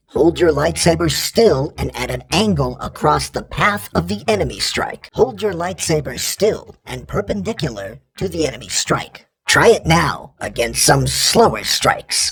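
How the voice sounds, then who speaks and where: An actor voicing a stern, precise male training droid in a video game, giving clipped, formal combat instructions in a raspy voice with robotic processing. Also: fairly high pitch, fairly bright tone, heavily acted. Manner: terse, formal, imperative, emotionless correction.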